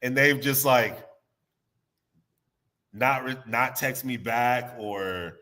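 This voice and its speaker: A man's voice: medium pitch, slow pace, bright, forceful tone. A man with a stuffy nose, guesting on a casual podcast chat, rambling and restarting his sentences. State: stuffy nose, under the weather.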